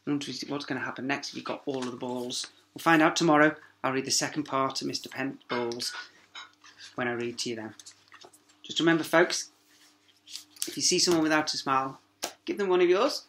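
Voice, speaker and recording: Man, bright forceful voice, children's story reading, dramatic delivery, emphatic pauses, schoolteacher manner. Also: mid-range voice, slight background noise. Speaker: primary school teacher reading to pupils.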